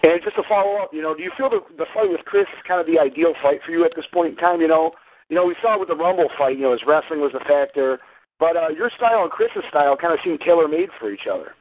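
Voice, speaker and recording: Quick, higher-voiced man on a tinny phone. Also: expressive. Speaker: reporter asking questions. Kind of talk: press call questioning. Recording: tinny phone line with faint noise.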